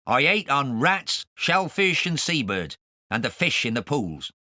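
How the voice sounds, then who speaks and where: A man's voice: mid-range voice, big pitch swings, a little slow, sharp tone, no room sound, clean studio sound, full character voice. A man voicing a self-righteous, rambling sailor with a grudge, telling his confession in an audiobook. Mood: plaintive recollection. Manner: sanctimonious, aggrieved, rambling. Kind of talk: audiobook character narration.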